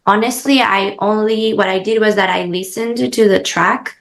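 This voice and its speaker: Thoughtful woman filmmaker, halting speech. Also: mid-range voice, fairly even intonation. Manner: halting, many "um" and "uh" pauses. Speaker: filmmaker guest. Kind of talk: casual interview answers.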